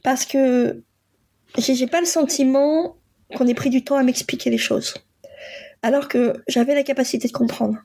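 A Polish-born woman in her forties who is a coach, telling her own story in a halting, reflective way with frequent pauses. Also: sits high, mellow. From Poland. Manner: halting, many pauses and fillers. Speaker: guest, coach and psychotherapist. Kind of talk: reflective personal testimony.

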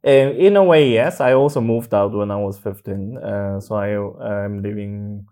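A man from South Korea with a Korean accent, speaking haltingly as a guest in a conversational interview. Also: lowish voice, dark tone. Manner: halting, hesitant phrasing with many pauses. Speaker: podcast guest, kink instructor. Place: studio room, in person.

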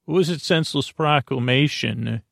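A man with a gravelly, fry-laden voice, recorded close and dry. He hosts a sleep podcast as a rambling monologue, stumbling, restarting and wandering off into tangents as he goes. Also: mid-range voice, fairly bright tone, no room sound. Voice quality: gravelly, creaky. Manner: halting, meandering, with self-interrupted tangents. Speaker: podcast host.

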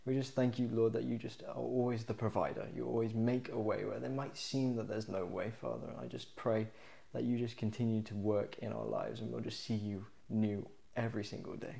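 Co-host, male: earnest, encouraging, a dark, slightly fried voice. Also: fairly low pitch, little pitch movement, a little fast, gravelly, hardly any pauses. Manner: earnest, encouraging, repeats "just" and "Lord" in prayer. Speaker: podcast co-host. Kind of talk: casual chat and closing prayer.

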